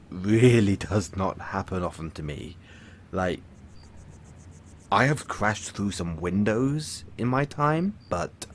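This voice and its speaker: A man's voice: sits low, a bit bright, a little hiss or hum, exaggerated voice. Man acting a theatrical villain, wildly swooping delivery. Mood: sheepish, rambling. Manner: theatrical, pompous, grandiloquent. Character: flamboyant, smug male villain, lovestruck and vain. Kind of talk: audio roleplay acting addressed to the listener.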